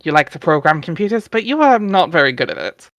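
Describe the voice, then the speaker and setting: Livestream host for a dramatic reading, medium pitch, informal, recorded close and dry. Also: very animated, quick pace, dry recording, lo-fi sound. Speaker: stream host and reader. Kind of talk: dramatic reading mixed with casual livestream chat.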